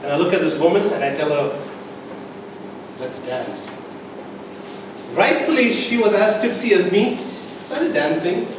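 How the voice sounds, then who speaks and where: A distant, very echoey recording with clear background noise catches a man giving a comic storytelling speech. He holds dramatic pauses and leans hard on key phrases, building up each point theatrically for a live audience. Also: high voice, expressive. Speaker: club speaker telling a tall tale in a speech contest. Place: indoor meeting room, recorded from the audience.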